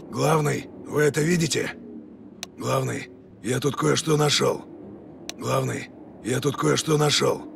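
A man voicing a gruff, commanding special forces soldier giving terse, urgent radio commands in a video game. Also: a little high, background noise, heavily acted. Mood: calm, low. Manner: terse, urgent, barked military callouts.

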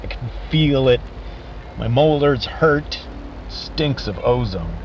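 Tired resigned man leaving a last message, slow n halting, wry, bit of noise. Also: mid-range voice, lively intonation, rough recording. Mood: weary, uneasy. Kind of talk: found-footage audio drama monologue. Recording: faint background noise.

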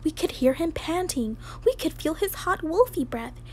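A woman's voice: high voice, big pitch swings, moderate pace, pitched above the performer's own voice. A young woman voicing terrified sheep in a children's storybook, urgent and wailing. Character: flock of terrified bleating sheep. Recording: home recording with clear background noise.

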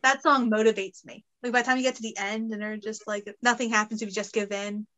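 A pregnant woman in her late twenties chatting casually over a video call from home, laughing often and breaking into quick asides. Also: mid-range voice, fairly even intonation, pushed and bright. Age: late 20s. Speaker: guest costumer. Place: home room, indoors.

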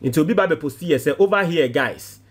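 Ghanaian man, lively comment reader. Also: on the high side, a little fast, breathy edge. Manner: animated, laughs at comments. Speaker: commentator reading social media comments. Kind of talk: casual commentary mixing English and Twi.

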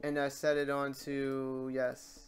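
A tutorial host with a mid-pitched voice talks over a screen recording. The delivery is unscripted and informal, rambling a little while thinking aloud through each step of the software. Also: completely flat delivery, slow pace, clean tone.

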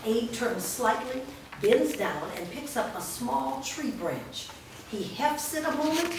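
Woman narrating in a very echoey room. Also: medium pitch. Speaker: narrator reading stage directions. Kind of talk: scripted narration. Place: indoor performance room, seated before an audience. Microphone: far camera. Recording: distant mic, very echoey noisy room.

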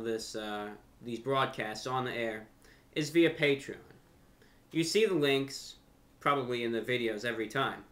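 Male, young adult, American. Bright, sharp-edged voice, mid pitch; earnest casual vlog host talking straight to camera. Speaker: host of a video channel and radio show. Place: furnished room at home.

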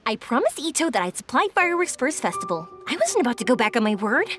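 A bright, high and bubbly young woman, teasing friends with a lively, bouncing lilt. She is a cheerful game character with faint music behind her. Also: quick pace. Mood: upbeat. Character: cheerful young fireworks-maker girl, bubbly and playful. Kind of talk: video game voice acting.

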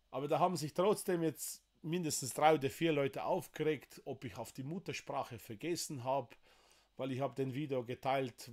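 Emotional Slovenian-accented man, halting. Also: medium pitch, darkish tone. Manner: emotional, halting, many fillers. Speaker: livestream host. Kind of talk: livestream appeal to viewers. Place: wood-panelled room indoors.

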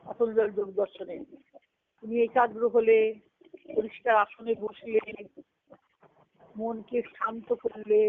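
A woman's voice: a little high, slight background noise. A woman leading a devotional teaching on a conference call, reflective and explaining step by step, often asking a question and then answering it. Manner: recites scripture terms in a measured cadence. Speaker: spiritual discourse leader. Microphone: phone on a conference call. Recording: tinny conference-call phone line.

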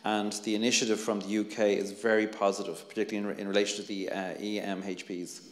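A man's voice: on the low side, level tone, fast. A middle-aged Irish man on a conference panel, earnestly talking on a handheld mic with many restarts and fillers. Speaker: panelist, anti-bullying researcher. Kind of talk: panel discussion. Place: conference hall, seated on stage. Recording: echoey hall with long reverb.

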